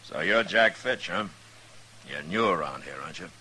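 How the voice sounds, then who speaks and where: A low-voiced, authoritative frontier marshal from an old radio western. He speaks plainly and steadily, in an old broadcast recording. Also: lively intonation, moderate pace, pushed and bright, slight background noise, full character voice. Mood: businesslike. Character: stern, steady western marshal, grown man. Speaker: lead actor and narrator. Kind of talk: 1950s radio western acting, first-person narration.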